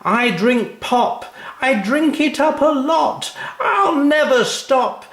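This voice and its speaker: A man voicing a bouncy, stubborn little girl in a children's story, chanting loudly in sing-song. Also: very high voice, lively intonation, takes it slowly, reverberant, voice pushed up, exaggerated voice. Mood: loud, cheeky chanting.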